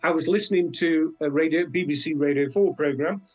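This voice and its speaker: A British man speaking as a guest author in a conversational interview, halting and reflective, often trailing into asides. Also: a little high, a little mellow, faint music behind. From Britain.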